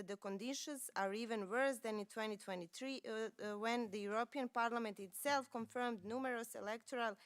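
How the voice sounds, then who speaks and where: A woman's voice: fairly high pitch, clean tone, no room sound. A woman from Serbia, a native Serbian speaker with a Serbian accent, delivering a prepared political speech.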